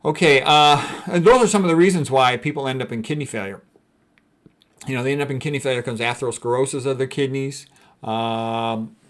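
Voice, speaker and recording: A man with a mid-pitched voice lectures informally in the role of a doctor. He explains his material in a blunt, conversational way, moving between reading aloud and commenting off the cuff. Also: a bit bright.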